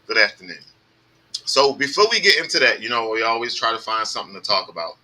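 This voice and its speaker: A man's voice: mid-range voice, animated, fast, pushed and bright, poor audio. A man hosting a podcast in casual chat, loose and joking, talking over his guests. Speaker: podcast host.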